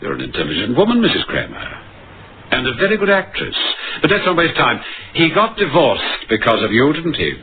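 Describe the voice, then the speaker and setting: An actor playing a stern, probing police inspector in an old radio crime drama, with clipped, theatrical delivery. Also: male voice, medium pitch, huge pitch swings, a little fast. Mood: pressing. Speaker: lead actor and narrator. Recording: muffled old radio broadcast with music.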